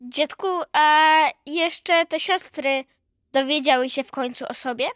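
Bright, high-pitched little girl. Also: a little slow, no room sound, studio quality. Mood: curious. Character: curious, earnest little granddaughter. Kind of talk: children's radio play acting.

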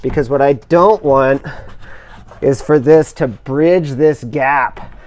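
A middle-aged man calmly explaining each step of a hands-on installation as he works. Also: medium pitch. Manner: careful, measured explaining while working with his hands. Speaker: instructor demonstrating kayak outfitting. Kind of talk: step-by-step how-to demonstration.

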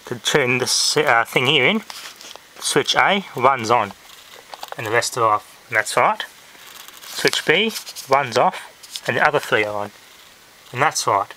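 A man giving a casual hands-on electronics demonstration, talking haltingly as he thinks aloud. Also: mid-range voice, animated, pushed and bright. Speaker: hobbyist presenter. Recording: noisy amateur handheld camera audio with clicks.

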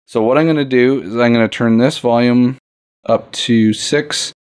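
A man's voice: medium pitch, fairly even intonation, moderate pace, a little mellow, smooth, no room sound, clean studio sound. A man hosting an instructional tutorial, explaining things step by step in an informal, plain-spoken way. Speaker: tutorial host. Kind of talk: casual instructional demo, step-by-step.

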